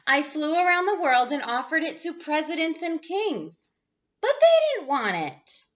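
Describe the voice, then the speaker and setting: Animated, high-voiced woman reading to children. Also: unhurried, lo-fi sound. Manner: expressive, dramatic storytelling for young children. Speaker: teacher reading a picture book aloud. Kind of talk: animated children's read-aloud.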